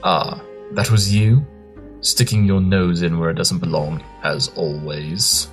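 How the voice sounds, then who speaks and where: A man's voice: low-pitched, fairly even intonation, slow pace, faint music behind. A man playing a brilliant, irritable pony detective in an audio drama, pompous, clipped and sardonic. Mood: irritated sarcasm. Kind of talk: scripted audio drama acting.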